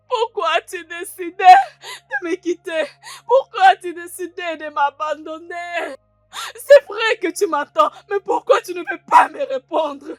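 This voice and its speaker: An actress playing a desperate childless woman who tearfully pleads with God, wailing and repeating herself in drawn-out cries. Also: extremely high pitch, dry recording. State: crying, wailing.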